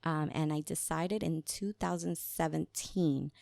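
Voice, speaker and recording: A woman's voice: sits low, steady tone, unhurried, gravelly, mellow, dry recording. A woman, chatting casually as a podcast guest, often laughing and slipping in Spanish phrases. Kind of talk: casual conversation.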